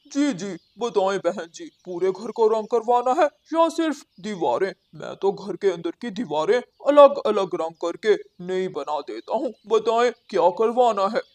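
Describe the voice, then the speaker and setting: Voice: mid-range voice, animated, measured pace, hardly any pauses, a little mellow, close to an everyday voice. An actor voicing a hardworking, polite male crow house painter in a children's cartoon, earnest and reassuring. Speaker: cartoon character voice. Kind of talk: Hindi children's cartoon dialogue.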